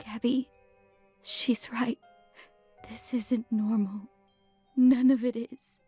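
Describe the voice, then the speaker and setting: A woman playing a timid, distraught schoolgirl in a horror game, pleading in a halting, faltering way. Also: fairly high pitch, little pitch movement, dark tone, slightly rough, faint music behind, full character voice. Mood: shaken, earnest. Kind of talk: dramatic visual novel voice acting.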